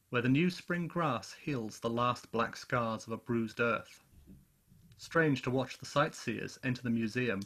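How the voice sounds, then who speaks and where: A man's voice: mid-range voice, fairly bright tone. Grave man acting as a professor narrating a catastrophe. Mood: reflective, solemn. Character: solemn male professor recounting a Martian invasion he survived. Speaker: actor narrating a radio play. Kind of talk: dramatic radio-play narration.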